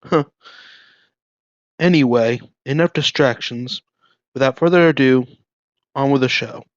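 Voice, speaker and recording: A man presents as a host in a theatrical, scripted way. His mid-pitched voice sits in a dry, slightly muffled recording. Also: measured pace, no room sound. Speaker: host introducing old radio programs.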